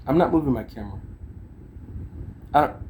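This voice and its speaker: A man's voice: medium pitch, expressive, a little fast, darkish tone, slight background noise. A man chatting informally and ramblingly to camera, with slangy, sweary asides as he shows things off. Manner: informal, swearing, slangy, talking as they demonstrate gear. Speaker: vlogger and amateur songwriter. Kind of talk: casual rambling chat to camera.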